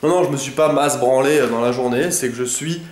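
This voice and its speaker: A man's voice: mid-range voice, brisk. A young man with a head cold, sounding congested, chatting casually in a rambling vlog with lots of hesitations and slang. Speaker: vlogger. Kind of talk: casual daily vlog chat. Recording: echoey room.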